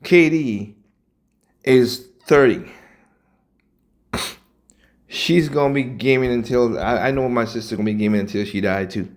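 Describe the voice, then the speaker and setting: A man. He talks in casual commentary, and his voice climbs into loud, animated outbursts when he makes a point. His pitch sits in the middle range. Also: a little slow, pauses often. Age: 40s. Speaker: channel host reacting to clips.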